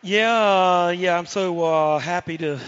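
A man guesting on a talk show, hoarse from losing his voice, speaking haltingly with many fillers. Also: high-pitched, a bit bright. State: hoarse from lost voice. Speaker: studio guest. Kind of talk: casual talk show chat. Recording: dull lo-fi sound.

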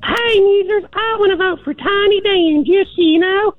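A woman's voice: very high voice, moderate pace. A woman phoning in casually to a radio show over a telephone line. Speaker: radio caller. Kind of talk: casual call-in. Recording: tinny phone line, faint music behind.

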